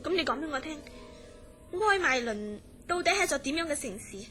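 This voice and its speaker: A woman acting a concerned, inquisitive friend in a horror radio drama, questioning gently in Hong Kong Cantonese. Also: high voice, lively intonation, fairly quick, music in the background. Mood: curious, concerned. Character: concerned, inquisitive female friend of a troubled dreamer.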